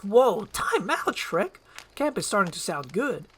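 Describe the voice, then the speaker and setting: Casting: woman to play male donkey sidekick, excitable, talkative, informal, animated delivery for a storybook comedy. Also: medium pitch, measured pace, slightly rough. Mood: protesting.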